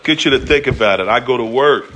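A man's voice: mid-range voice, fast talker, fairly bright tone, slight background noise. A man preaching a casual, slangy sermon in a church, acting out quotes and talking directly to the congregation. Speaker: pastor. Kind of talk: conversational sermon.

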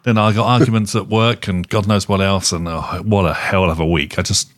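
A British man with a fairly low voice talks casually as a podcast host. He has a wry, self-deprecating way about him, and the sound is close and dry. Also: steady tone, fairly quick, dry recording. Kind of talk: casual chat.

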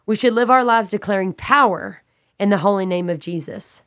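Congested, slightly raspy woman teaching scripture. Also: mid-range voice, normal speed, a bit bright. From Texas. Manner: earnest, preacherly, repeats and restates points. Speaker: Bible study teacher. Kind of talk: Bible study teaching.

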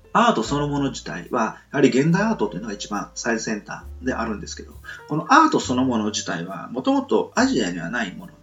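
A man's voice: mid-range voice, gravelly, fairly bright tone, lo-fi sound. A man hosting a casual solo talk radio show, politely explaining his thoughts in a hesitant, meandering way as he thinks aloud.

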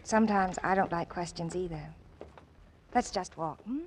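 Actress as a gentle young woman, old noisy radio. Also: on the low side, normal speed, natural voice. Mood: tender, light. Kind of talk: 1940s radio drama acting.

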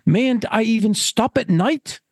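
A man voicing a presumptuous, grumbling older gentleman angler in a spoken fishing fable. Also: high voice, unhurried, no echo, clean studio sound, voice pushed up. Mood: exasperated. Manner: pompous, grumbling. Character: presumptuous old fat-cat angler, blustering and exasperated. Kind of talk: acted storytelling of a fishing fable.

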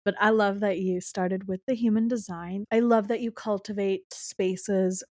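A woman's voice: medium pitch, fairly even intonation, a little slow, mellow, no room sound, studio quality. A woman hosting a podcast, speaking in an enthusiastic, uplifting, motivational way. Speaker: podcast host. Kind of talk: scripted podcast intro, then casual interview chat.

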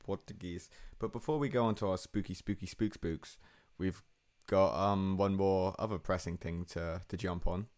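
Rambling, self-mocking man, very deep voice. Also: fairly even intonation, moderate pace, hardly any pauses, darkish tone. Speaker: podcast host. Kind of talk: casual chat.

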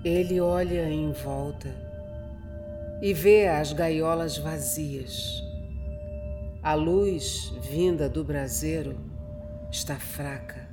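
A man narrating a scripted horror radio drama in a measured, dramatic manner. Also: on the high side. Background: music.

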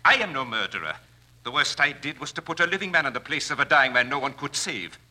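An actor playing a formal, guilty doctor in a 1940s radio drama, with a husky voice and stiff diction. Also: male voice, medium pitch, expressive, fast talker, pushed and bright. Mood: indignant.

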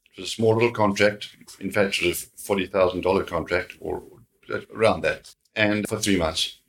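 A man's voice: sits low, steady tone, normal speed, fairly bright tone, a little hiss or hum, low-quality recording. A middle-aged South African man whose first language is Afrikaans, telling his life story as an interview guest in an earnest way, often repeating words. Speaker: guest, security company founder.

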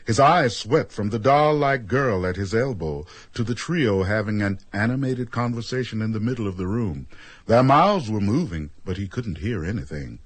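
A man narrating a radio drama as a measured storyteller, reading scripted prose. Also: fairly low pitch, lively intonation, no room sound. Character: measured storyteller of a strange tale.

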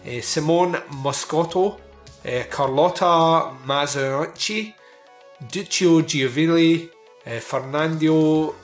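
Man, film review chat, rambling, lots of fillers, echoey room, faint music underneath. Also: on the high side. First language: not Italian. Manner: rambling, with many fillers and swearing. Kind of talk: casual film review talk. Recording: roomy echo, faint music behind.

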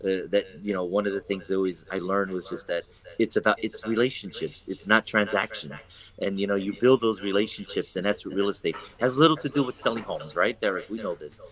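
A man's voice: deep voice, a little fast, a bit bright, low-quality recording. A man who is a real estate broker talking casually in an interview, rambling with many fillers and restarts. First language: English.

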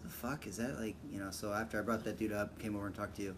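A man's voice: fairly low pitch, steady tone, fast talker. Casual male podcast host over background noise. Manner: rambling, profane. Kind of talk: casual chat, storytelling.

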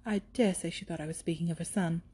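A woman's voice: fairly high pitch, big pitch swings, quick pace, mellow, breathy edge. A woman voicing a placid older married lady in a period storybook, mild and genteel, rambling along kindly.

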